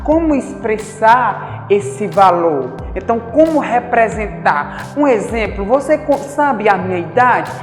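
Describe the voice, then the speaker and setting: A man teaching a recorded math lesson, talking casually and putting direct questions to his students. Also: high voice. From Brazil. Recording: echoey hall with faint music.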